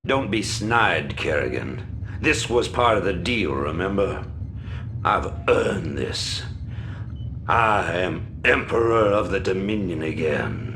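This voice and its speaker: An imperious, short-tempered emperor for a sci-fi game. His voice is low and his delivery haughty and curt. There is clear background noise. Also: lively intonation, slow pace, exaggerated voice. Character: proud, irritable, imperious human emperor.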